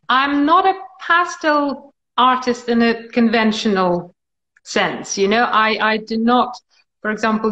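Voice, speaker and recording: A woman with a British accent speaks over a video call from her living room, explaining her work frankly. Her voice is slightly high and friendly. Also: a bit bright, echoey room, lo-fi sound. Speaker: guest, portrait artist.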